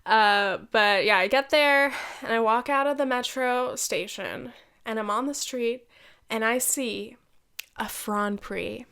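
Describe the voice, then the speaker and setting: A young woman in her twenties with a stuffed-up, nasal head-cold voice, pitched a bit high. She hosts her podcast alone in loose, chatty fashion, cursing freely and poking fun at herself. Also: a bit bright, clean tone. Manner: chatty, swearing, self-deprecating, quick asides. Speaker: podcast host. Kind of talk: casual solo podcast chat.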